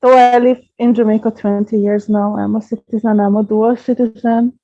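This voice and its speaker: Woman, earnest and measured. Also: on the high side, flat delivery. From Hungary. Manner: earnest, measured, gently repeats words. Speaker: astrologer guest. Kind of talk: interview answers, explaining at length. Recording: dull lo-fi podcast audio.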